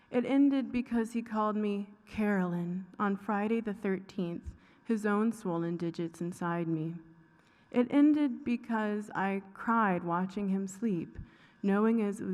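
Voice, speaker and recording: A woman giving a dramatic reading of a short story, with deliberate, pausing phrasing. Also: mid-range voice, a little mellow. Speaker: fiction writer reading own work. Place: reverberant hall at a live public reading. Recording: echoey hall with long reverb.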